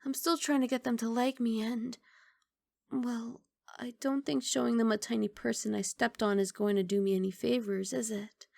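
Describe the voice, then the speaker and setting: A woman playing a young, sweet, apologetic giant dragon girl in an intimate ASMR roleplay, soft and coaxing with hesitant rambling. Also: a little high, steady tone, moderate pace, vocal fry, dry recording, studio quality. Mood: sheepish. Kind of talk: ASMR roleplay, intimate one-sided dialogue.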